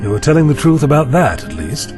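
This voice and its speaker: Time-travelling doctor hero, male actor: courteous, alert, fairly high voice with lively inflection, sci-fi audio drama. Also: quick pace, over music. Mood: calm, firm.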